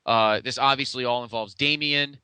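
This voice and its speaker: A man with a bright, smooth voice at a middling pitch, chatting casually as a podcast co-host. His delivery is blunt and the sound is dry and close. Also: normal speed, no room sound. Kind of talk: casual pro wrestling retrospective chat.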